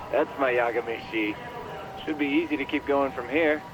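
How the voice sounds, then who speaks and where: A geeky, eager search expert, played by a male actor in a game cutscene. He speaks at a middle pitch in a quirky, chuckling manner, over clear background noise. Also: moderate pace, dull audio, lightly acted. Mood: impressed, teasing. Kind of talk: video game cutscene dialogue.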